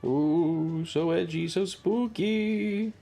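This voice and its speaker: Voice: mid-range voice, very slow, vocal fry, mellow. A streamer giving casual, sarcastic game commentary to chat, playfully crude and full of swearing. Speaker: streamer, host. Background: faint game music.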